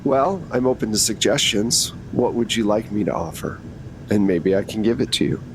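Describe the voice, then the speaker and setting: A man's voice: moderate pace, lightly acted. A man playing a composed, businesslike female FBI agent in her thirties in a tabletop game, matter-of-fact and persuasive. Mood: negotiating. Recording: noticeable low rumbling background noise.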